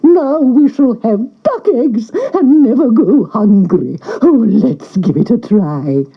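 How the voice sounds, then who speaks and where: Woman playing a slow, dotty old woman. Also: on the high side, expressive, slightly rough, dull audio, voice pushed up, exaggerated voice. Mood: delighted. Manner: slow, drawn-out. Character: dotty old woman, short-sighted pet lover. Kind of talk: storybook character voice.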